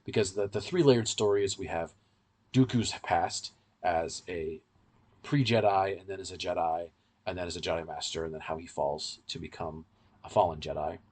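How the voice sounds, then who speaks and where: A low-pitched man with a somewhat husky voice talks as a podcast co-host. He explains and analyses in a casual way, often correcting himself as he goes. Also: moderate pace, darkish tone.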